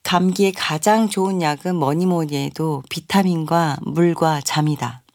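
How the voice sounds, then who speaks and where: A woman's voice: low voice, fairly even intonation, measured pace, darkish tone, no room sound, clean studio sound. A young woman hosting a radio music show, chatting casually and reading listener messages with friendly asides. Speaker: radio host.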